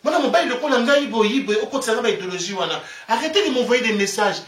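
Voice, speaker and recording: A man's voice: very high-pitched, animated, fast, a bit bright, distant mic. A man from the Republic of the Congo with a Congolese French accent, giving an impassioned, preachy monologue and repeating his phrases for emphasis. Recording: echoey room, away from the mic.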